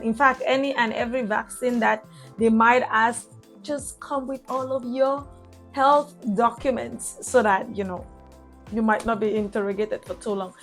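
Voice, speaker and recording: A young woman with a Cameroonian accent, recapping her travels in a chatty vlog with fillers and restarts. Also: on the high side. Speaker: travel vlogger.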